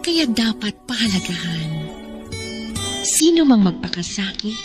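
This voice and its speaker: Woman, unhurried solemn recitation, medium pitch, radio verse, clear music bed. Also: sing-song.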